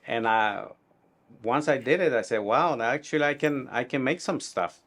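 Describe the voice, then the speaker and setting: A middle-aged man from Guatemala with a Guatemalan Spanish accent, answering interview questions in a halting, reflective way. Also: mid-range voice, a bit bright. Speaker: tailor being interviewed.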